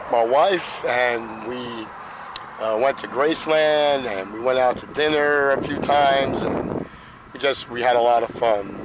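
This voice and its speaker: A man in his fifties with a hoarse voice, chatting casually and in a folksy way as a vlogger. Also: mid-range voice. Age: 50s. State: hoarse, losing voice. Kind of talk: casual vlog chat. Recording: noisy car and outdoor ambience.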